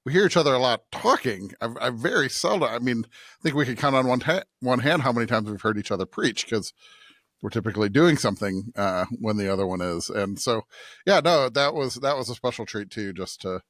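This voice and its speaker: A man's voice: mid-range voice, lively intonation, brisk, a bit bright, no echo, clean studio sound. A man co-hosting a church podcast in casual, conversational talk. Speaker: podcast co-host and pastor. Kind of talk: casual chat.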